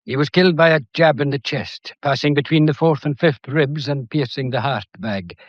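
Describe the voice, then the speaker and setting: An actor playing a genial, fatherly Scottish police inspector who questions courteously and gently in a mystery audio drama. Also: a little high, moderate pace, dry recording, highs cut off, natural voice. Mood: measured, probing.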